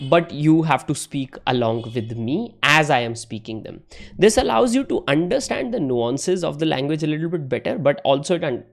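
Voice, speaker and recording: A young man giving a casual spoken tutorial, talking conversationally with frequent "right?" tags and self-corrections. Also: on the high side. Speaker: English-learning YouTuber.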